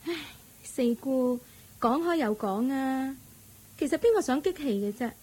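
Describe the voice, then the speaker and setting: A pampered young mother in a radio play. Her voice is high and husky. She draws out her words in a touchy, spoiled way. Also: expressive, warm tone, heavily acted. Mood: petulant. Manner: petulant, drawn-out complaining. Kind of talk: Cantonese radio drama acting.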